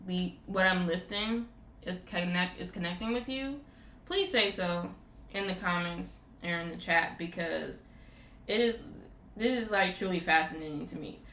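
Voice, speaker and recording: A woman's voice: medium pitch, bright, forceful tone. A woman hosting a casual podcast chat, rambling with lots of "like" fillers. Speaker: podcast host. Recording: roomy echo with faint background noise.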